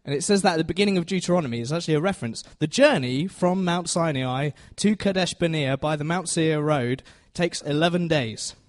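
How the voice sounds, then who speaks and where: Man preaching informally, higher-pitched voice. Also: a little fast, few pauses. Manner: conversational, self-deprecating, checks in with listeners. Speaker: preacher giving a Bible talk to a congregation. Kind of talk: informal teaching sermon.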